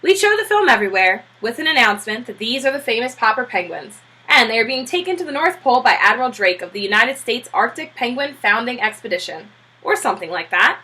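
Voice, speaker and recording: A woman voicing a brisk, eager male film businessman from a storybook, talking like an emphatic, informal salesman. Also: on the high side, sing-song, sharp tone, breathy edge, exaggerated voice. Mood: eager, persuasive.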